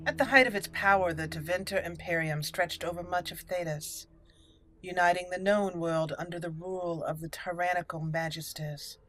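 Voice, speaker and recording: A woman hosting a roleplay game playthrough, speaking in a deliberate storyteller manner. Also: on the low side, fairly even intonation, a bit bright, slight background noise, low-quality recording. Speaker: gaming channel host. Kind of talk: roleplay game commentary and dramatic lore reading. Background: faint game music.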